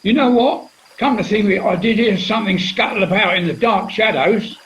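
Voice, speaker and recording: A man's voice: sits high, brisk, fairly bright tone, slight background noise. A man playing a belligerent, indignant castaway full of complaints in a comic radio play, on a muffled recording. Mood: grumbling. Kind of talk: British comedy radio play acting.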